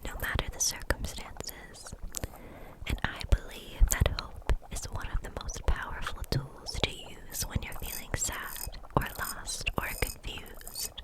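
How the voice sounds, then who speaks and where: A woman playing a gentle, kindly kitchen witch in an ASMR roleplay, whispering softly in a soothing, encouraging way. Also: measured pace, lightly acted, poor audio. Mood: tender, hopeful. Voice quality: breathy unvoiced whisper.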